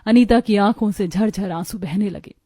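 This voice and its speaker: A woman's voice: medium pitch, moderate pace, mellow, slightly rough, no room sound. A woman reading scripted narration for a story.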